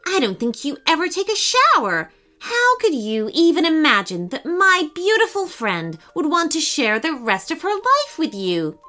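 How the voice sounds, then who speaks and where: A woman's voice: sits high, wildly swooping pitch, normal speed, bright, forceful tone, pitched above the performer's own voice, exaggerated voice. A woman voicing a bossy, scornful female swan in a storybook, mocking and shooing.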